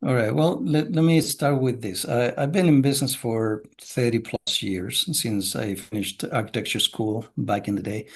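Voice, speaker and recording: A middle-aged man from Chile, a business coach, talking casually as a podcast guest in a measured way with many filler sounds. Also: medium pitch, breathy edge.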